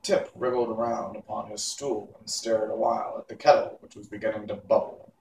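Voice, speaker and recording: A man reading a classic children's book aloud in an unhurried, expressive storytelling manner. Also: fairly low pitch, vocal fry. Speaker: audiobook reader. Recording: echoey room, distant mic, faint hiss.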